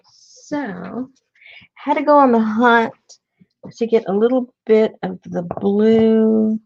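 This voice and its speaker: A woman's voice: a little high, takes it slowly, creaky, dull audio. A woman hosting an art tutorial, chatting casually in a rambling way and muttering to herself as she works. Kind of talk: casual chat while painting.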